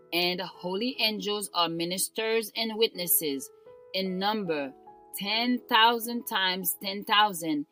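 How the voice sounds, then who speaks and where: A woman reading a scripted devotional in a solemn, deliberate manner with formal diction. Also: mid-range voice, sharp tone, poor audio. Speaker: devotional reader. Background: instrumental music.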